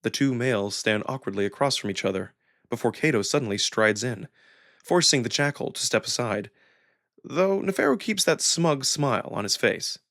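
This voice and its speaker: A man's voice: mid-range voice, lively intonation, moderate pace, a bit bright, no room sound, studio quality. A man reading first-person narration from a visual novel in a dramatic storytelling style. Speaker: narrator and voice performer.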